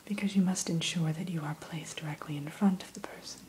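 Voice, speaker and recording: A woman whispering softly and slowly into a close microphone, hosting an ASMR roleplay as a dragon hatchery keeper and telling the listener about each dragon. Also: on the low side, steady tone, slight background noise. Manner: soft, slow unvoiced whisper; gentle, storytelling tone addressing the listener.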